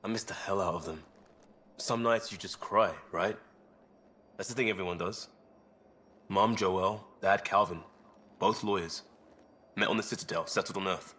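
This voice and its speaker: An easygoing crisis responder in a sci-fi video game, played by a man, with a fairly low voice. He is earnest underneath, but talks casually and bluntly, with a wry edge. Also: fairly bright tone, lightly acted. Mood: wistful. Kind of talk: video game cutscene dialogue.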